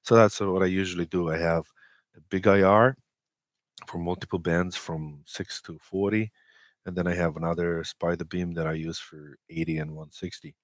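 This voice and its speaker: A man from Romania talking casually and technically as an interview guest, with enthusiasm and a hesitant, halting delivery. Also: deep voice, steady tone, no room sound, clean studio sound. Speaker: interview guest, amateur radio expeditioner.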